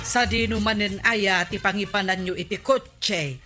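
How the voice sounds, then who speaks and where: A woman's voice: mid-range voice, steady tone, unhurried, over loud music, close to an everyday voice. A woman playing the scolding, exasperated wife of a village captain in an Ilocano radio comedy drama, with an Ilocano accent. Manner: nagging, questioning.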